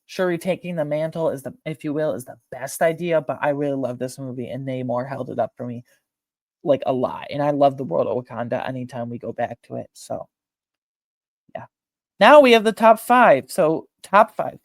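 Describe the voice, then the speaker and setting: A man hosting a film ranking video, talking informally and emphatically with slang and a halting, opinionated delivery. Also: mid-range voice, animated, gravelly, dry recording, studio quality. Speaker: video host ranking films.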